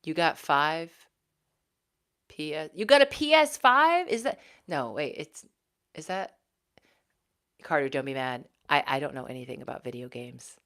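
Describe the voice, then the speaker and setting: Woman, 40s, low voice, ex-news anchor, casual livestream host. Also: expressive, measured pace, long pauses, a bit bright. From Houston, Texas. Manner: chatty, reads out viewer comments, laughs often. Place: home.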